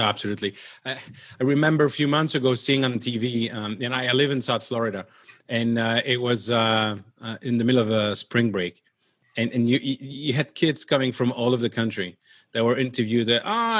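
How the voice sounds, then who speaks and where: A man's voice: medium pitch, a bit bright, no room sound. Belgian-accented male host, close dry sound. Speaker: show host. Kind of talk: conversational interview.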